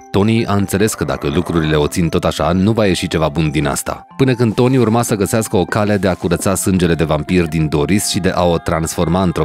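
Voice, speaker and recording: A man's voice: low-pitched, darkish tone. A man narrating a scripted fairy tale as storyteller.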